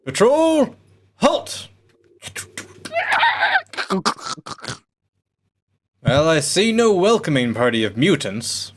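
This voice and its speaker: A man's voice: on the high side, huge pitch swings, measured pace, exaggerated voice. A man playing a scornful, trigger-happy lieutenant, commanding and sneering in a theatrical radio play reading. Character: scornful, trigger-happy military lieutenant barking orders at patrol. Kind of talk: radio play cold read.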